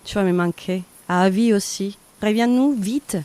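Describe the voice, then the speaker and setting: A woman's voice: mid-range voice, moderate pace, mellow, natural voice. A woman acting an affectionate, teasing best friend in a French audio drama, casual and intimate. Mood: tender. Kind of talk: French fiction podcast acting.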